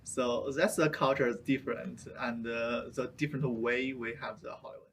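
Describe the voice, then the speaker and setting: A young man from China, a student answering interview questions in a halting way. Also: mid-range voice, steady tone, fairly bright tone, slight background noise. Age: young adult. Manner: halting, searching for words.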